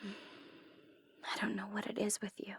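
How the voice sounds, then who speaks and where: Female supervillain for ASMR audio drama, played by a woman: teasing, theatrical, easily flustered tsundere, measured pace, very dry intimate recording. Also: mid-range voice, monotone, gravelly, slightly rough, no room sound, full character voice. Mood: tender, confessing.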